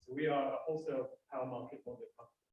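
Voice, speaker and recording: A man's voice: medium pitch, steady tone, racing. A man from the UK with a British accent, explaining his company fluently and in a businesslike way on a panel. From United Kingdom. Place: large room at live event. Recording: echoey room, distant, dull, faint hiss.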